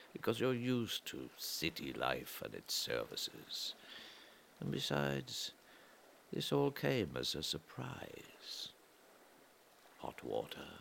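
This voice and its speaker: Very deep, gravelly man narrating slowly. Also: a bit bright, a little hiss or hum. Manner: measured, pausing between short phrases. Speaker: reader of a literary audio story. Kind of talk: slow atmospheric literary narration.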